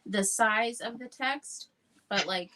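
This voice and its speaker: A woman's voice: a little high, takes it slowly, bright, forceful tone. A woman chatting casually about books on a livestream, informal and earnest in manner. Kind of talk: casual book chat.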